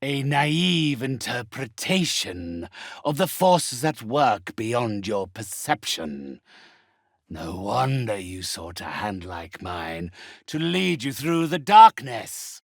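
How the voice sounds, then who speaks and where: An actor playing a haughty, theatrical undead necromancer in a video game, speaking with pompous, condescending, ornate phrasing. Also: male voice, medium pitch, sing-song, takes it slowly, creaky, no room sound, studio quality, exaggerated voice. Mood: lofty. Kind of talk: video game character acting.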